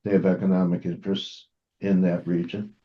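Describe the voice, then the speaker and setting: Man, low voice, interview host, casual roundabout questions, dull lo-fi sound. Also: little pitch movement, a little fast.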